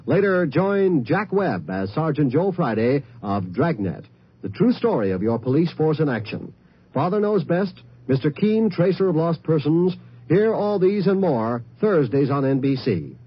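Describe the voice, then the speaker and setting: Animated 1950s radio announcer man. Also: a little high, unhurried, breathy edge. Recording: muffled vintage radio broadcast.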